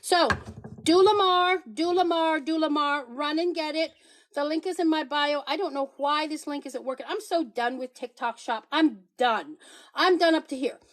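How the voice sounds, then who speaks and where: A woman's voice: high voice, moderate pace. A woman hosting a casual livestream, sassy and emphatic, pleading with and teasing her viewers while playfully switching accents. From Paraguay. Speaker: livestream host promoting products.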